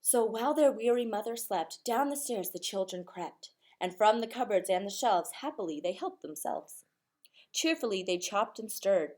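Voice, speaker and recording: A woman with a fairly high voice reads a children's storybook aloud. Her delivery is expressive and follows the rhyme in a measured, sing-song rhythm, the way a teacher reads to a class. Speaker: teacher reading a picture book aloud.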